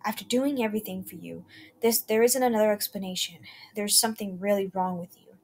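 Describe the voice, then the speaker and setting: A woman voicing a menacing male toy maker who turns from calm to raving and growls his threats. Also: mid-range voice, fairly quick, slight background noise, lightly acted. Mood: cold.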